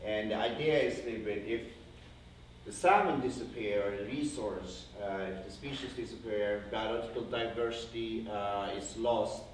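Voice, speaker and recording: A man's voice: fairly low pitch, moderate pace. A man with a Norwegian accent gives an informal slide lecture to an audience, speaking hesitantly and often rephrasing himself as he rambles along. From Norway. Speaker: conservation project founder giving a guest lecture. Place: indoor university lecture room. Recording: very echoey room, distant mic, faint noise.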